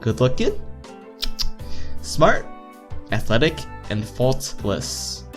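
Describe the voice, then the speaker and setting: A man's voice: mid-range voice, very animated, very slow. A man reading visual novel text aloud as a let's player, stumbling over lines and repeating words, with joking asides. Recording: game music behind voice.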